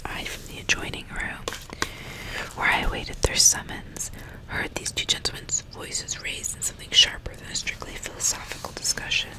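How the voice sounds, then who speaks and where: ASMR reader, female: fully whispered, breathy, soft and deliberate storytelling. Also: rough recording. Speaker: ASMR host reading a novel aloud. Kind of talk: ASMR, whispered book reading with casual asides.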